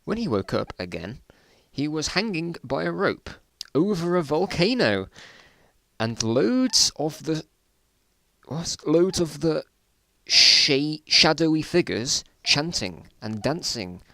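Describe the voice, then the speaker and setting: A man's voice: a little high, sing-song, slow pace, gravelly, pushed and bright, no echo. A man reading his own old stories aloud in a casual dramatic style, with self-mocking, bemused asides.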